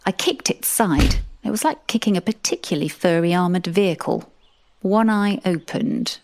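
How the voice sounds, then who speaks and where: A woman with a low voice narrates a comic audio drama in the first person. Her storytelling is dry and sardonic, delivered as the narrator living through the tale. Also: normal speed, darkish tone.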